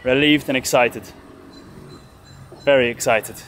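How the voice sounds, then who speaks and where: A man's voice: medium pitch, unhurried, slight background noise. A man in his thirties casually narrating a boat restoration vlog, filming himself with a handheld camera outdoors in a boatyard. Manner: chatty, excitable, thinks aloud. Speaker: vlogger restoring a sailboat. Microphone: action camera held at arm's length.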